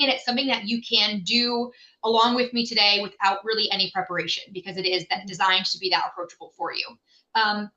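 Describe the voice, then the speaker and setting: A woman presents a software platform on a livestream, upbeat and enthusiastic, with many fillers. Her voice is bright and sits fairly high. The sound is echoey, as from a bare room. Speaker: director at an education software company.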